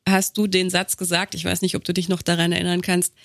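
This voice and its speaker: A woman's voice: on the low side, little pitch movement, quick pace, vocal fry, dry recording. Bilingual woman hosting a podcast, dry sound. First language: German and English. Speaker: podcast host, voice actress. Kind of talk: podcast hosting, scripted intro and casual chat.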